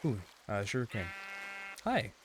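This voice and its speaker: Slightly raspy man chatting over gameplay. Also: lowish voice, sing-song, measured pace, background noise. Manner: earnest, often checking in with "right?". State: throat a bit rough. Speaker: gaming video host. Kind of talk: casual rambling gameplay commentary with life advice.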